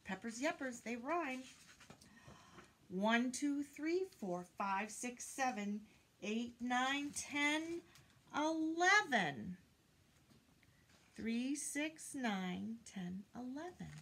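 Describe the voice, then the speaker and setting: Slow-paced older woman reading to children. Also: a little high, lively intonation, fairly bright tone, husky. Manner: singsong counting, deliberate, prompting listeners with questions. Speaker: children's teacher reading aloud. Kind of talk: picture-book read-aloud with counting questions for young children. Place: indoors, small room lined with bookshelves.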